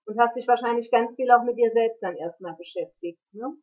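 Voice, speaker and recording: A woman interviewing a guest, asking thoughtful questions and murmuring soft affirmations. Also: on the high side, brisk. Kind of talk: interview host, warm questions. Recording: tinny phone line.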